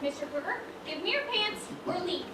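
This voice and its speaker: A woman acting in an amateur stage play as a brisk, exasperated, proper lady who runs a dress shop, formal and scolding. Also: very high-pitched, expressive. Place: large indoor performance space, far from mic. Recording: distant, echoey stage recording with loud noise.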